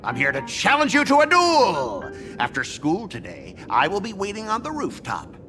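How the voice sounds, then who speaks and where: A man's voice: high voice, huge pitch swings, normal speed, pushed and bright, heavily acted. A young man voicing a hot-headed, bitter student rival in an anime-style video game, melodramatic and indignant. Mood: bitter, challenging. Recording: music behind the voice. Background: game music.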